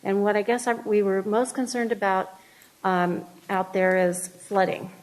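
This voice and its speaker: A woman's voice: mid-range voice, fairly even intonation, a little slow, low-quality recording. Hesitant woman giving public comment. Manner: hesitant, many fillers and restarts. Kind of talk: public comment at a city meeting.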